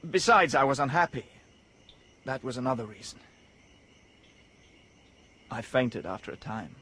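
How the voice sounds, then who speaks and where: A young man raised apart, lonely and earnest, wonder-struck by a world he has only dreamed of. His voice sits at an ordinary pitch and he talks with quiet, dreamy intensity. This is classic radio play acting. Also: expressive, a little slow. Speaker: the Savage. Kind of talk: BBC radio drama acting.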